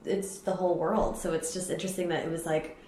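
A woman's voice: lowish voice, flat delivery, fast, dark tone, a little hiss or hum. Bubbly woman hosting a podcast interview. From Northern California. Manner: bubbly, laughs often, chatty. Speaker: podcast host. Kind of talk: scripted intro then casual interview chat. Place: guest's apartment, indoors.

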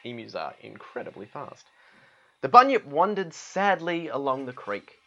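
An Australian man narrates a picture book in an easygoing, conversational way. His voice sits at a middle pitch. The recording is a little dull and lo-fi. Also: sing-song, normal speed, creaky, a bit bright. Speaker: guest storybook reader. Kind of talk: storybook narration with casual asides.

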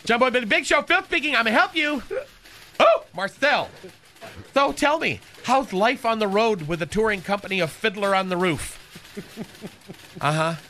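A man's voice: high voice, lively intonation, normal speed, pauses often, sharp tone, a little hiss or hum. A man doing a campy, catty receptionist. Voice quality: lilting, swooping camp delivery. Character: flamboyant, catty, gossipy male receptionist. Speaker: show's receptionist character. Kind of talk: radio comedy sketch.

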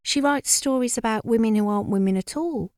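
A woman's voice: medium pitch, quick pace, darkish tone, dry recording, studio quality, voice pushed up, close to an everyday voice. A woman voicing a smug schoolgirl gossip who talks with a self-important, knowing air in a storybook reading. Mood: smug, conspiratorial.

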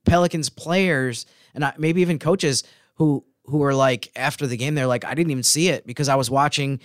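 A man's voice: medium pitch, fairly even intonation, brisk, dry recording, clean studio sound. A man co-hosting a sports podcast, explaining plays in casual, conversational analysis.

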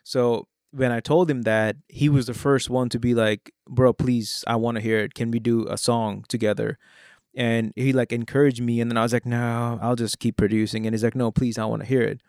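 A man's voice: mid-range voice, moderate pace, no echo, clean studio sound. Man with a mixed Indian-British-American accent. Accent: Indian English with British and American traces. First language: Hindi. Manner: relaxed and conversational, fillers like "you know" and "like". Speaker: rapper and music producer. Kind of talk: casual interview answers. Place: Oklahoma.

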